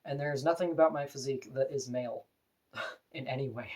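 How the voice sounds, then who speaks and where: A woman with a deep voice, talking to camera in an earnest, opinionated, plain-spoken personal vlog. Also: brisk, darkish tone. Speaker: vlogger.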